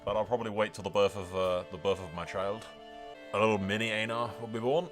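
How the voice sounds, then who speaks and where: A man's voice: fairly low pitch, steady tone, fast talker, music in the background. A man hosting a gaming video, rambling casually through his commentary with sweary, jokey asides to the audience. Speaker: host of a gaming video channel.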